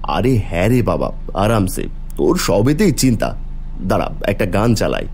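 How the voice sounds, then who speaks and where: A man acting a low-voiced, blunt colleague. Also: very animated, moderate pace, creaky, a little mellow. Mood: breezy. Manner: blunt, insistent. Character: brash, callous, self-protecting male colleague and driver. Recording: music behind the voice.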